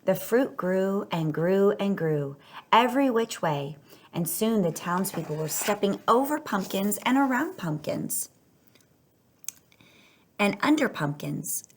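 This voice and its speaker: A woman's voice: medium pitch, lively intonation, slow pace. A woman reading a children's picture book aloud, telling it expressively and stretching words for emphasis. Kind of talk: read-aloud children's story narration.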